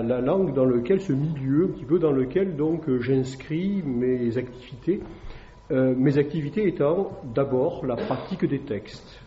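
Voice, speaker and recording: Hesitant lecturing man, dark echoey hall. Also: mid-range voice. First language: French. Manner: hesitant, many fillers and tag questions. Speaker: classics teacher giving a talk. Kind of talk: public lecture to an evening audience. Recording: echoey dull room recording.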